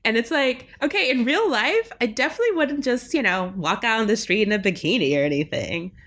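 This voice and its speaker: A woman's voice: fairly high pitch, lively intonation, fairly quick, fairly bright tone. A woman linguistics professor talking as a podcast guest in a reflective, academic way, often checking in with "right?". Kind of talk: academic interview talk.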